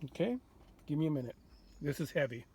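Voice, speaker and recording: A man presenting a casual hands-on walkthrough as a radio restorer, informal and halting as he thinks aloud. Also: on the high side, expressive, mellow, a little hiss or hum.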